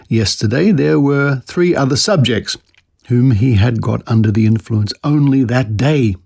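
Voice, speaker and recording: A male podcast presenter with a mid-pitched voice reads archival newspaper pieces aloud. He delivers them like a storyteller, theatrical and expressive, and adds short comments in his own words. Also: creaky. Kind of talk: reading old newspaper articles aloud with brief commentary.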